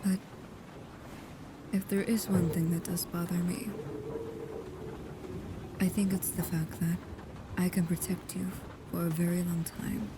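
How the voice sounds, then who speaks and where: Over clearly audible background noise comes a woman acting as a female vampire protector in an ASMR roleplay. Her voice is on the low side, soft and intimate, often sinking to a whisper. She sounds devoted and proud yet guarded, and speaks in slow, halting phrases. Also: lightly acted.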